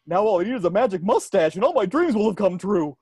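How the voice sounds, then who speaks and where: A performer voicing a dim-witted, goofy male cartoon starfish sidekick with a husky, exclamatory delivery at a table read. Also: mid-range voice, big pitch swings, fast, a little mellow, no echo, studio quality. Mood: dopey contentment.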